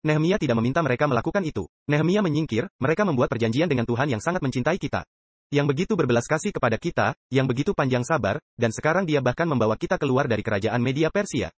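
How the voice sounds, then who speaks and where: A man's voice: medium pitch, lively intonation, very fast. A man preaching a dubbed sermon earnestly, with emphatic rhetorical questions put to his listeners.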